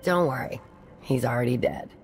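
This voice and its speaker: A shady female schemer from a video game. Her voice is extremely low and crackles with gravel. She is blunt, sly and sure of herself, with faint noise behind her. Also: normal speed. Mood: businesslike, conspiratorial. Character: brash crude female underworld schemer, shady and self-assured. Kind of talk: video game character dialogue.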